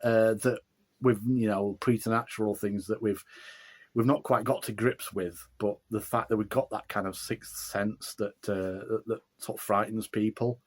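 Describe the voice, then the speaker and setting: A man's voice: lowish voice, steady tone, moderate pace. A middle-aged man with a broad Yorkshire accent, an author chatting casually in an interview, rambling with fillers and restarts.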